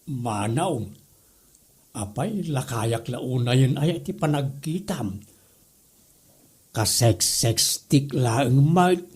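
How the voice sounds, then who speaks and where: A man's voice: mid-range voice, expressive, drawn-out words, long pauses, a little mellow, breathy edge, heavily acted. A man playing a flirtatious middle-aged uncle in a comedy audio drama, cajoling and teasing with a Northern Philippine accent.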